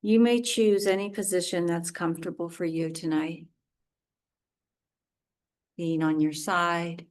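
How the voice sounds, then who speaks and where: A woman leads a guided relaxation as a yoga teacher. Her voice sits a little low, and she speaks very slowly. She delivers short, soothing phrases with space between them. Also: fairly even intonation.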